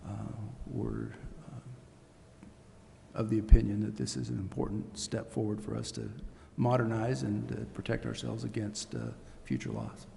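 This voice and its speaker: A man on city staff answering questions at a council meeting, halting and full of fillers. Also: on the low side, expressive, gravelly, dark tone. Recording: reverberant hall, faint noise.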